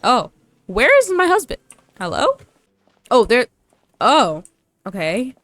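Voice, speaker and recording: A woman's voice: fairly high pitch, huge pitch swings, slow pace, a bit bright, dry recording. A young woman doing casual, slangy game commentary with a playful, teasing manner. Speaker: streamer playing a story game. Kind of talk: casual game commentary, with dialogue read aloud.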